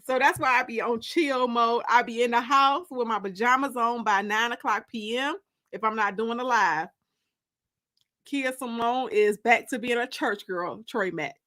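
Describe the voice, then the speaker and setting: A woman with an American accent and a bright, forward, fairly high voice hosts a casual livestream. She talks to her viewers in loose, colloquial language, with a playful and teasing manner. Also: moderate pace. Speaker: livestream host and author.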